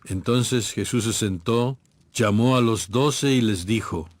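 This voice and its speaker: A man narrating a scripted scripture story in a measured storytelling manner. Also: medium pitch, dry recording.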